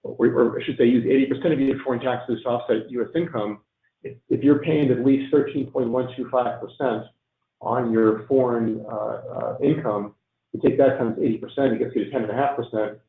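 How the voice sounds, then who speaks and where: A man presenting on a tax webinar, halting and full of ums and restarts, a bit hoarse from losing his voice. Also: medium pitch, fairly even intonation. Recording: echoey, tinny phone-line audio.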